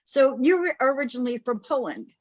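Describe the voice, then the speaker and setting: A woman co-hosting a podcast, reading a formal, measured introduction of her guest. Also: high-pitched, expressive, no echo, dull audio. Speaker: podcast co-host.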